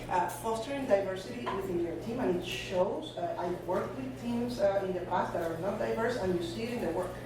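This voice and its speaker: A woman giving a conference talk in a loose, conversational way with many fillers. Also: medium pitch. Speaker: conference speaker. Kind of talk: presentation talk. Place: conference hall. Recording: echoey room from far off with noise.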